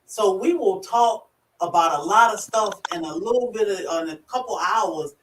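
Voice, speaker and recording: A woman with a rural Virginia accent hosting a casual livestream, chatty and quick to laugh as she greets viewers by name. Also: mid-range voice, a little hiss or hum. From Virginia, USA. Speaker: livestream host. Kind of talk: casual live chat. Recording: echoey room, far from the mic.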